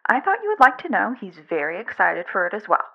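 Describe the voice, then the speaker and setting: A woman's voice: mid-range voice, sing-song, quick pace, creaky. A woman playing a doting, chatty, affectionate mother in a fan fiction audio drama, gushing and rambling. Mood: flustered. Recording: dull lo-fi recording.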